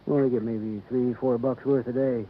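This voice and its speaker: A man's voice: mid-range voice, steady tone, close to an everyday voice. Man playing a folksy, stubborn American country sheriff. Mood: folksy, cautionary. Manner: folksy, rustic, unhurried confidence. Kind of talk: 1950s radio acting. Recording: tinny, faintly noisy vintage radio.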